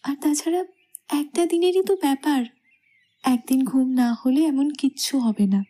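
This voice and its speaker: A young woman playing a shy, anxious bride who protests gently and hesitantly in a romantic audio story. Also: high voice, a little slow. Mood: pleading. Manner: coy, hesitant, softly pouting.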